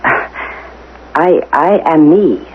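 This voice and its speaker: A woman acting in a radio drama as an anxious, dutiful administrator of a regimented future, speaking formally and haltingly. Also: deep voice, animated, exaggerated voice. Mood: uneasy, puzzled. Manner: hesitant, faltering, formal. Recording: tinny, hissy 1980 radio broadcast.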